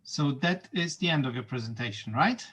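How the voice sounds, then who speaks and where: A man moderating an online school video meeting, relaxed and chatty, with many fillers and small laughs. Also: medium pitch, a little fast, background noise. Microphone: video call.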